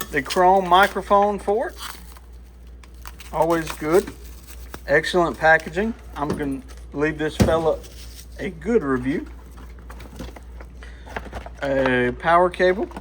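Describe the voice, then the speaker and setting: A man's voice: on the high side, fairly bright tone, slight background noise. A man giving casual, folksy hands-on commentary to himself as he works at a repair bench, easygoing in manner. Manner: folksy, easygoing, talks to himself while working. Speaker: radio repair shop vlogger. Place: small radio repair workshop, indoors.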